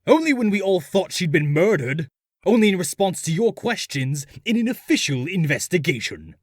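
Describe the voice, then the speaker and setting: A man playing a haughty, cornered villain in a comic dub, husky and blustering with formal indignation. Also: high-pitched, big pitch swings, normal speed, no room sound, clean studio sound. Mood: indignant. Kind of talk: scripted comic-dub acting.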